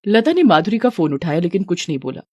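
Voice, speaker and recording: A woman's voice: lowish voice, very animated, fast talker, darkish tone, no room sound. A woman reading a scripted story as its narrator.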